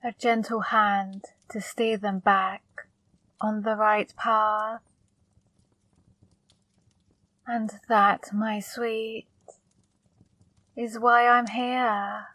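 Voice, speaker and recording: A woman playing a flirty, teasing female demon in an intimate ASMR roleplay, speaking softly and breathily, coaxing and gently scolding up close. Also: medium pitch, flat delivery, drawn-out words, no echo, rough recording. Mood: seductive, scolding. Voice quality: soft, breathy, partly whispered. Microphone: close microphone, dry.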